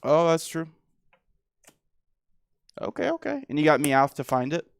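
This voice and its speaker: A man chats casually over a card game with a slightly hoarse, gravelly voice full of fry. Beneath the rasp there's a smooth, resonant core, and his pitch swings widely as he talks. The sound is dry and close, with no room echo. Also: a little slow. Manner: relaxed, lots of "like" and "dude". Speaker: guest player. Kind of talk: casual chat while playing a card game.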